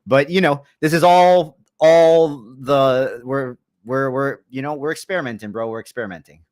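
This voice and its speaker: A man hosting a casual livestream, chatty and excitable, repeating himself as he experiments. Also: mid-range voice, normal speed, no echo. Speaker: livestream host.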